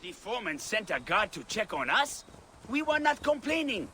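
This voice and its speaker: A man's voice: high-pitched, sing-song, normal speed, sharp tone, slight background noise, exaggerated voice. An actor playing a nervous, defensive workman in a video game. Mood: alarmed, defensive. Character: anxious, defensive male Egyptian labourer. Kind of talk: dramatic video game acting.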